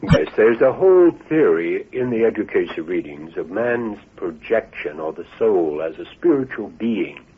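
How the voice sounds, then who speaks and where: Lowish husky man, hesitant interview guest, lo-fi radio sound. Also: very animated, a little slow, few pauses. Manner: hesitant, many fillers and restarts. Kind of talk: unscripted interview answer. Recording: narrow lo-fi radio broadcast.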